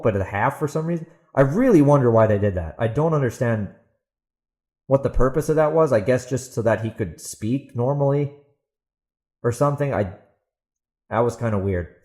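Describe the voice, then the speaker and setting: A man's voice: mid-range voice, a little mellow. A man hosting a solo podcast, talking casually and emphatically with opinionated asides. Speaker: podcast host and author.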